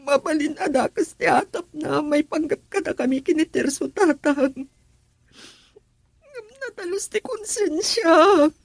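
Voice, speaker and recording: High-voiced anguished young woman in radio drama. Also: measured pace, gravelly, no room sound. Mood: tearful, wounded. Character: anguished young woman caught in a love quarrel.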